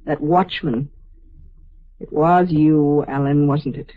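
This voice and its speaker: An anguished, resolute telepath, old muffled radio. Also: female voice, deep voice, fairly even intonation, slow pace, dry recording. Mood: stern resolve. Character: anguished, resolute telepathic woman confronting her killer lover. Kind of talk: 1950s American radio drama acting. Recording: dull, band-limited old radio recording.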